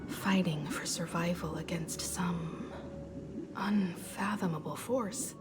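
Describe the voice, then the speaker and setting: An actress playing a thoughtful, compassionate young adventurer in a video game, reflective, earnest and gently resolute. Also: female voice, lowish voice, slow. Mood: wistful, earnest. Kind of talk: dramatic game voice acting. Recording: studio voice under loud music. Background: loud game music.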